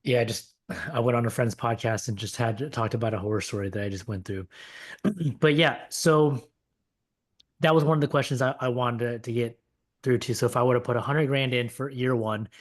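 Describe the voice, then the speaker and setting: A man's voice: medium pitch, moderate pace. Man hosting a casual podcast interview. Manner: asks questions plainly and keeps up steady backchannel replies. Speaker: podcast host.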